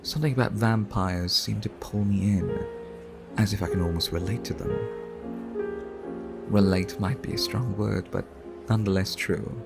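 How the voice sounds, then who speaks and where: Male actor as courtly vampire art collector for ASMR roleplay: creaky lowish voice, formal, measured, flirtatious, music underneath. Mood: courtly, musing.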